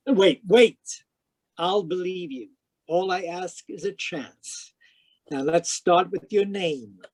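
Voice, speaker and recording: Man playing high-pitched wry radio private eye. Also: takes it slowly, no echo. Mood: patient, dry. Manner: deadpan, wisecracking. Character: wry wisecracking male private detective narrating his case. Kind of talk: 1940s radio detective drama acting. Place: live video-call performance, voice close and dry.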